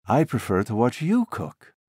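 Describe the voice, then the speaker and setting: A man voicing a wry, relaxed middle-aged wife in an audiobook, soft and teasing with a light wistfulness. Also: mid-range voice, a little slow, breathy edge, no room sound, clean studio sound, pitched above the performer's own voice, full character voice.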